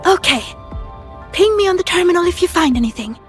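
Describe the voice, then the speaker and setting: An excitable, cheerful schoolgirl from a video game, with a very high, animated voice. She is bubbly and expressive, and music plays behind her. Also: a little fast. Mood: playful. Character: cheerful, excitable young female academy student.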